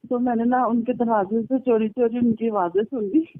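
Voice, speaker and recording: A woman's voice: fairly high pitch, fairly even intonation, moderate pace, dry recording. A woman, chatting and telling stories casually on a recorded phone call. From Pakistan. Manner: chatty, storytelling. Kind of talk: casual private phone chat. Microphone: telephone.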